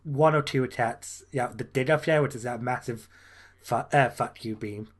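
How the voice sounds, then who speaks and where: A man's voice: medium pitch, normal speed, crowd noise. Easygoing guy on a game podcast, laughs a lot, restarts his sentences. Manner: laughs often, trails off and restarts. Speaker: podcast co-host. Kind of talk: casual gaming chat.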